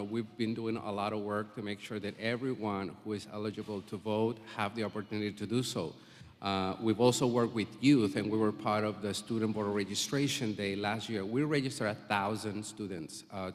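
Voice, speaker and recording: A man's voice: lowish voice, fairly even intonation, moderate pace. A man testifying at a public hearing, earnest and full of filler sounds. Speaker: community advocate testifying. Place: public hearing in a school building. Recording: long reverb of a hearing hall.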